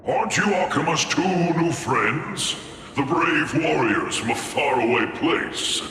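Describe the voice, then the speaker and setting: A man's voice: a little high, animated, unhurried, background noise. A man voicing a boastful, gossipy talking lion head in a video game, theatrical, grandiose and wheedling. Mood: grand, jovial. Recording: echoey hall reverb, music underneath.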